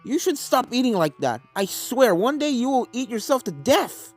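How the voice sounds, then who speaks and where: A muscled unicorn stallion, acted by a male reader, talks with brash force and eagerness. The pitch often lifts above the narration, with music underneath. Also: sing-song, moderate pace, dry recording, full character voice. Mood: scolding.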